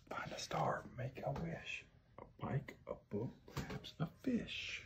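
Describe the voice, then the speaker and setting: A man reading a picture book to a small child at bedtime in a gentle, often hushed sing-song, recorded on a phone in a room at home. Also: fairly high pitch, sing-song, takes it slowly, in a whisper. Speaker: parent reading to a toddler. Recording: noisy home phone video with clicks.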